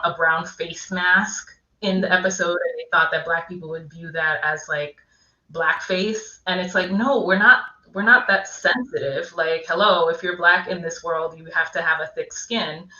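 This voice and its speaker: A woman's voice: on the low side, fairly even intonation, moderate pace, bright, forceful tone. A woman speaking frankly and with wry opinions as a guest in a casual roundtable chat. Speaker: guest, assistant professor. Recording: echoey, dull lo-fi call audio.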